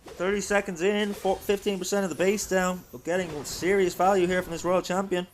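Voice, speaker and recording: Irish man commentating games, higher-pitched voice. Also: little pitch movement, fairly quick, a little hiss or hum. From Ireland. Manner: chatty, addresses viewers. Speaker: livestream host and esports caster. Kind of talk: live game commentary.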